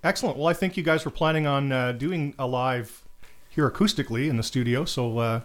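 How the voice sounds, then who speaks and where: A male host running an interview with a band in the studio. He talks at a middle pitch, easygoing and joking, with frequent uhs as he moves things along. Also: brisk. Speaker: show host. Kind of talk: casual interview hosting.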